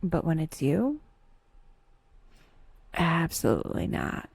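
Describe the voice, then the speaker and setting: Woman doing guided meditation, soft husky voice, really dry close sound, wry humor, takes her time. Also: deep voice, huge pitch swings, slow pace, no room sound. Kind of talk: scripted guided meditation narration.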